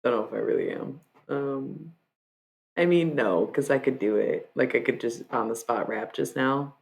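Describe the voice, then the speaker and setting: A young woman streaming live, chatting casually and joking at her own expense. Her voice sits low, with a gravelly, fried edge. She drifts now and then into quick rap lines. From Michigan. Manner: joking, self-mocking, freestyles rap lines.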